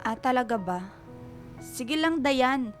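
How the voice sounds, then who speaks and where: An actress playing a blunt, bossy and protective best friend in a radio drama. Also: female voice, high voice, lively intonation, takes it slowly. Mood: teasing, warning. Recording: music behind the dialogue.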